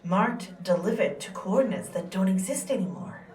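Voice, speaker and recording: A woman's voice: medium pitch, lively intonation, moderate pace, a little mellow, distant mic, lightly acted. Woman playing terse cynical lady soldier giving a report, sci-fi drama, echoey room, off the mic a bit. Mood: grim, cynical. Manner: terse, matter-of-fact. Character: sober, cynical female soldier reporting findings. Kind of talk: sci-fi military audio drama acting.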